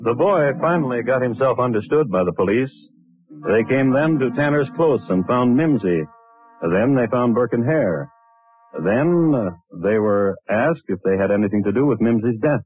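An actor playing a wry, deliberate narrator of historic crimes in a 1950s radio drama. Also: male voice, mid-range voice, expressive, lightly acted. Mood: wry, deadpan. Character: dry, deadpan male storyteller of historic crimes. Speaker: narrator and host. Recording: tinny old radio recording, faint music.

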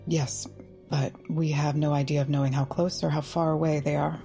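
An actress playing a brisk, commanding female ally in an audio drama, formal and businesslike in delivery. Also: low-pitched, level tone, dark tone, faint music behind. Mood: briefing, businesslike.

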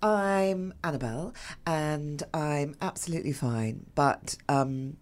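Middle-aged woman co-host, low voice, dry wit, theatrical moans. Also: slow pace, over music. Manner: dry, wry, theatrical complaining. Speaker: podcast co-host. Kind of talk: casual chat.

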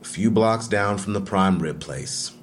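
A man's voice: deep voice, measured pace, a little hiss or hum. An actor playing a guarded, irritable man under police questioning in an audio drama, curt, defensive and evasive.

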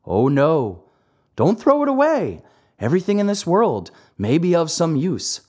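A narrator voicing a kindly, patient old father in a children's storybook, calmly admonishing and moralizing. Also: medium pitch, a little slow, husky, pitched above the performer's own voice, heavily acted. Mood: calm, advising. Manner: calm, gently admonishing. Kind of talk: children's storybook character acting.

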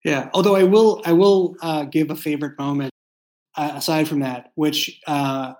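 Thoughtful, halting man chatting casually. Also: a little high, fairly even intonation, a little mellow. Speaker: creator and writer of an audio drama, as guest. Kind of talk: casual behind-the-scenes chat.

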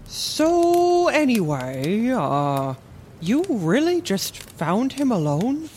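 A woman's voice: fairly high pitch, huge pitch swings, extremely slow, a little mellow, slight background noise, full character voice. A woman voicing a courteous, cautious male doctor in a fantasy audio drama, with a polite, dryly hesitant delivery. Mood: cautious, reassuring.

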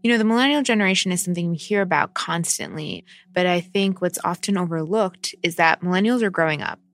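A woman who works as a deputy editor, explaining a story in a measured, deliberate way. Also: fairly low pitch, no room sound. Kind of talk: explanatory podcast talk.

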